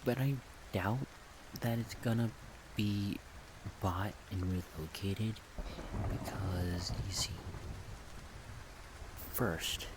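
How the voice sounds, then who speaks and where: A man rambling in a soft-spoken ASMR style, unscripted, thinking aloud in halting short phrases. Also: low-pitched, noisy background. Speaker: ASMR video creator.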